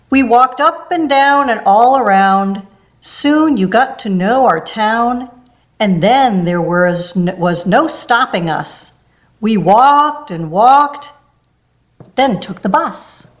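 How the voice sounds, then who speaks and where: A woman reading a rhyming children's picture book aloud in a gentle storybook manner. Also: medium pitch.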